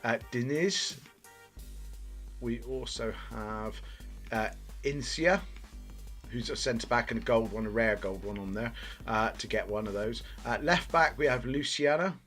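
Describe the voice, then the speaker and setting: A man's voice: mid-range voice, unhurried, long pauses, a bit bright, faint music behind. A man from Bristol with a local accent, giving rambling, self-deprecating, mildly sweary commentary as host of a gaming video. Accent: Bristol English. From Bristol, England. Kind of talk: casual video game commentary.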